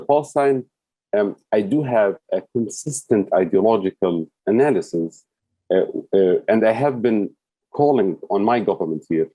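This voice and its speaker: Man, guest, low pitch, dry close recording, thoughtful, halting, searching for words. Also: warm tone, dry recording, poor audio. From United States. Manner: thoughtful, hesitant, many fillers and restarts. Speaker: guest, advocate. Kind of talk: interview answers.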